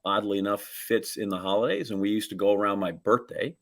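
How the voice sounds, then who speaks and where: A man's voice: on the low side, steady tone, brisk. A middle-aged man. He co-hosts a podcast in a casual way. His talk wanders through folksy stories with many asides. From Wisconsin, United States. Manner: rambling, folksy storytelling. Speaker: podcast co-host. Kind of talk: casual chat with rambling anecdotes.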